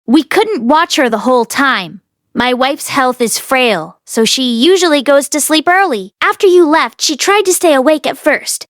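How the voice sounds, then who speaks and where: Woman playing a panicked, cowardly landlord, high-pitched. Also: dry recording, clean studio sound. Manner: pleading, stammering repeated denials. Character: cowardly panicked male landlord begging for rescue.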